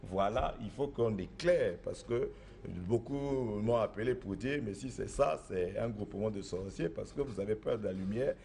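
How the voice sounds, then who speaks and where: Insistent man with a low voice. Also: normal speed, creaky, dark tone, a little hiss or hum. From Republic of the Congo. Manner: assertive, insistent, repeats himself. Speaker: traditional spiritual master. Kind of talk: interview answers.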